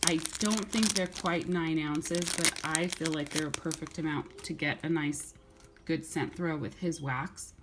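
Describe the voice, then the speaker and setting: A middle-aged woman with a slightly hoarse voice from a cold, chatting casually and rambling through a haul video at home. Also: sits low, steady tone, unhurried, heavy background noise. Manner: chatty, rambling, with many restarts and fillers. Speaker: vlogger.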